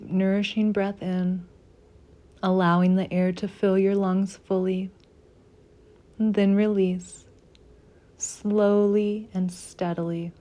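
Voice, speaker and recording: Woman, meditation guide, medium pitch, very relaxed dark tone, soothing, gentle pauses, unhurried narration.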